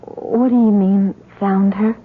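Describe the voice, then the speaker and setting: An actress playing a nervous, earnest college woman in an old-time radio drama, halting and insistent as she speaks. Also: mid-range voice, fairly even intonation. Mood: alarmed. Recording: muffled, narrowband old radio broadcast.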